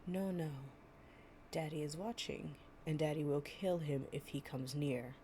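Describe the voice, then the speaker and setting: A woman's voice: low voice, unhurried, dark tone, slight background noise. A young woman playing an affectionate, chatty girlfriend in an improvised, intimate storytime roleplay, with a casual, slangy and playful way of talking. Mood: gentle reading. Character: affectionate, chatty girlfriend reading fables to her partner.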